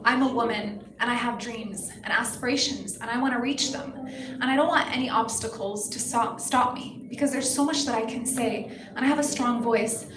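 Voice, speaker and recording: A woman's voice: on the high side, moderate pace. A young woman from Palestine, a pageant contestant, answering press questions in an earnest, impassioned way. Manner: earnest, impassioned, speaks in full sentences. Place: hotel event hall with a crowd. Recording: echoey hall, busy room noise.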